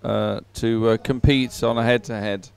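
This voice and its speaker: A man giving unhurried live sports commentary, filling time between races in halting phrases with frequent pauses. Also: mid-range voice, fairly even intonation, vocal fry, a little mellow, smooth.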